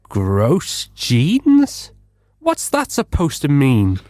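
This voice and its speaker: An actor playing a pompous, dim-witted, excitable detective in a blustering manner for a comic radio drama. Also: male voice, on the high side, extremely slow, no echo, studio quality, full character voice. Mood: puzzled, excitable. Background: music.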